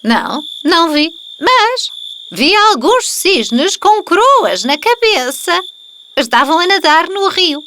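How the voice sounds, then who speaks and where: A woman voicing a kindly old woman in a cartoon fairy tale, slow and drawn out, with a European Portuguese accent. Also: extremely high pitch, sing-song, pushed and bright, slight background noise, exaggerated voice. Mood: gentle, curious.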